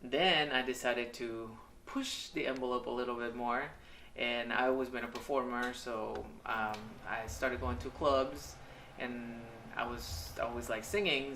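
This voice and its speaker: A man in his thirties from Mexico, telling his life story in a candid, hesitant way with many pauses and ums. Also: mid-range voice, pushed and bright, a little hiss or hum. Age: 30s. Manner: hesitant, halting, with frequent ums and uhs. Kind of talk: candid personal testimonial. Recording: echoey room, mic at a distance.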